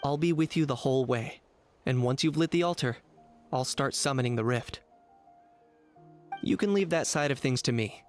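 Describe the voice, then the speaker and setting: A man voicing a cool, composed young warrior guide in a video game, measured and matter-of-fact. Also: medium pitch, soft background music, lightly acted. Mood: calm, instructive. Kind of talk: video game character acting.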